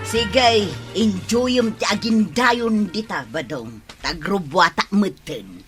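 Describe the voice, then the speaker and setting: A woman's voice: mid-range voice, animated, slow pace, faint music behind. A woman playing a fed-up, strong-willed village wife in a comedy radio drama, with an Ilocano accent. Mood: defiant.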